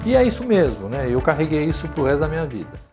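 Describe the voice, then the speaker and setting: A man in his sixties with a São Paulo accent, recalling his working life in an interview, talking slowly with many restarts and tag questions. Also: fairly high pitch, sing-song, loud music behind the voice. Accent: São Paulo Portuguese. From São Paulo, Brazil. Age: 60s. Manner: unhurried, hesitant, frequent tag questions and restarts. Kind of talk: reflective life-story interview.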